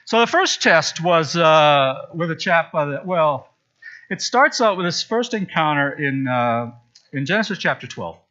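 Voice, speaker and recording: Man, preacher, sermon, chatty storyteller, bright voice, slightly high, dull lo-fi sound. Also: expressive, measured pace. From Zambia. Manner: chatty, storytelling, with frequent asides. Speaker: pastor. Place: church sanctuary.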